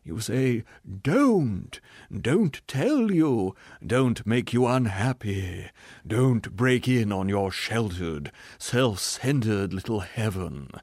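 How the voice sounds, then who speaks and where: A man voicing a bitter, self-pitying male ghost who declaims and shouts his lines in a drawn-out, theatrical way. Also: lowish voice, expressive, dry recording, clean studio sound, exaggerated voice. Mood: shouting. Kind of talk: audiobook character reading.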